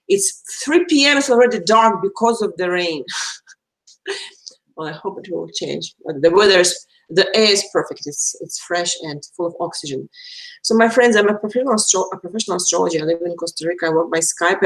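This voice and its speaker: A woman from Belarus, a native Russian speaker with a Russian accent, chatting casually and haltingly as she hosts an astrology live stream. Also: on the low side, low-quality recording. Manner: chatty, halting, searching for words.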